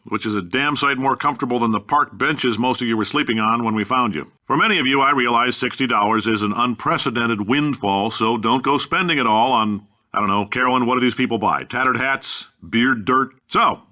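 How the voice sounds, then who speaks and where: A blustering middle-aged American company boss with a very sharp, forceful, driving voice at an ordinary male pitch. He delivers video game announcements like a cocky salesman, folksy and blunt, brashly sure of himself. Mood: brash, dismissive.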